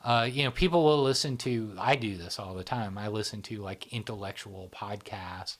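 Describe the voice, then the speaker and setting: A man with a fairly low voice, hosting a podcast in a relaxed, thinking-aloud way. He often trails off and stretches his words. His frequent checks with his listener keep the exchange loose and casual. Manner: conversational, drawn-out, frequent "you know" and "right?". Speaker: podcast host. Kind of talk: casual discussion.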